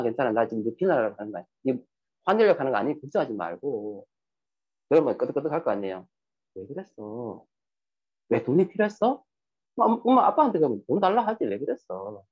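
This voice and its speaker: A man lectures an audience in a lively, swooping voice, often tossing out rhetorical questions. His voice is husky and sits fairly high, and the recording sounds dull and lo-fi. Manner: lively, rhetorical questions, acts out quoted dialogue. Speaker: speaker giving a talk on sales and emotions.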